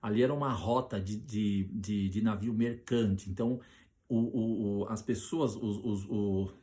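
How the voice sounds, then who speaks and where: A Brazilian man preaching on a Bible passage, often restarting his sentences and slowing down to read scripture aloud with emphasis. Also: lowish voice, fairly even intonation. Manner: hesitant with many restarts, reads scripture slowly and loudly.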